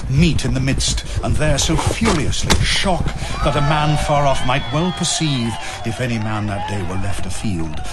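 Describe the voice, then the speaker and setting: A man's voice: medium pitch, noisy background. A man narrating epic verse as a scripted drama, in a grand, theatrical storytelling manner. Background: music.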